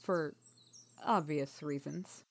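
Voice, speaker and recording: A woman's voice: deep voice, slow, vocal fry, heavily acted. A woman playing an earnest, diplomatic young rebel leader in a fantasy audio roleplay. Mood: calm, friendly. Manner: polite and apologetic to strangers, exasperated with sister.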